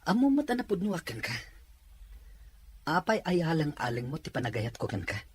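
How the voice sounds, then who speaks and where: A man playing a jealous, heartbroken boyfriend in a drama, with a very husky voice. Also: on the high side, very animated, moderate pace. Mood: accusing. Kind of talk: Ilocano drama acting.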